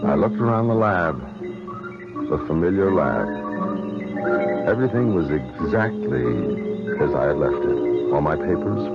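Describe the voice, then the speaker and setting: Low-voiced troubled scientist in radio drama. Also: male voice, exaggerated voice. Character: troubled, paranoid male scientist, weary and suspicious. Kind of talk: 1970s radio drama acting and first-person narration. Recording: dull vintage radio recording, dramatic music behind.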